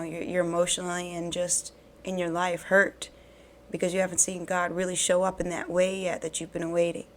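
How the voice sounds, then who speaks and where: A woman with a slightly low, even voice speaks earnestly to her viewers, encouraging them in faith. She is hoarse from being sick, and faint noise sits under the recording. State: hoarse, recovering from illness. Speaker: bible reading channel host. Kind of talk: casual devotional talk to camera.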